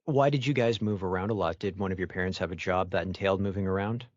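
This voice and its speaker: A man's voice: deep voice, fairly even intonation, fast, darkish tone, no room sound, highs cut off. A man hosting an interview podcast, delivering introductions and questions to a guest.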